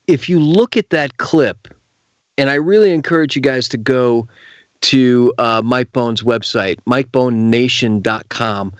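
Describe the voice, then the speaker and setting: A man's voice: medium pitch, a little slow, no room sound, highs cut off. A man hosting a casual radio interview, speaking emphatically as he stresses his points. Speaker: radio host.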